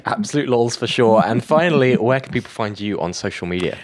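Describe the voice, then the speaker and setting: Male interviewer with very lively pitch. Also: fairly quick. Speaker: radio host interviewer. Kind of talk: casual chat interviewing.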